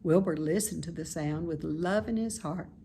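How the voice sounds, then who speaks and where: A woman reading a children's story aloud in expressive narration. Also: low-pitched, normal speed, a little hiss or hum. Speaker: storybook reader.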